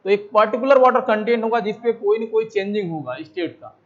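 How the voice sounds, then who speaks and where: A man's voice: sits high, animated, a little fast. An Indian man lecturing as a teacher, explaining step by step, repeating points and asking questions that he answers himself. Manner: repetitive, asks and answers own questions. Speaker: civil engineering lecturer. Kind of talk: explanatory lecture mixing Hindi with English technical terms. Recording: dull, lo-fi microphone sound.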